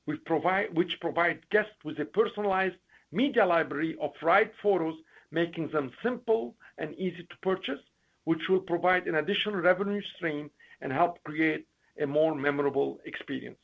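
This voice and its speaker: Slightly muffled, lo-fi call audio carries a man with a husky, fairly high voice. He delivers scripted executive remarks in a formal, read-aloud way. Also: normal speed. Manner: formal, reading prepared remarks with occasional stumbles. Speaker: company chief executive. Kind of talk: scripted earnings call presentation. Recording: dull, lo-fi conference call audio.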